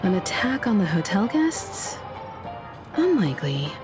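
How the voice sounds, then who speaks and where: A woman voicing a poised, shrewd investigator in a video game, speaking calmly and thoughtfully over background music. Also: mid-range voice, a little slow. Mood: pondering. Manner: thoughtful, articulate, composed. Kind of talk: video game character acting.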